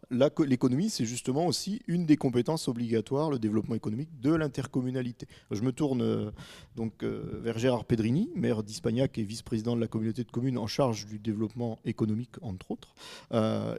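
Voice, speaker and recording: A man hosting a panel discussion, moderating in hesitant, measured phrases and handing over to guests. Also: medium pitch, vocal fry, mellow. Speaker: host.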